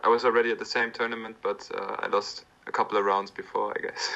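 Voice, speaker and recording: Bright-voiced man, lo-fi call. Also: on the low side, little pitch movement, creaky. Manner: friendly, joking, slightly halting. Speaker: guest. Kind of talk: casual chat. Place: apartment, on a video call.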